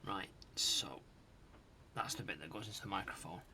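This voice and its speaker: A man's voice: deep voice, moderate pace, vocal fry, sharp tone, slight background noise. A man giving a casual hands-on repair commentary, muttering to himself in short fragments as he works. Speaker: host of a retro tech repair channel.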